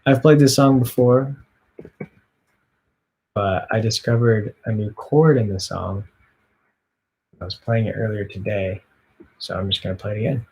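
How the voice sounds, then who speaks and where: A young man talks casually in a quiet, low-key voice. He sounds like an amateur musician chatting between songs. The recording is made at home in a small bedroom, with the mic about an arm's length away. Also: fairly even intonation, unhurried, vocal fry, pauses often, slight background noise, poor audio. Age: young adult. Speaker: amateur singer and blogger.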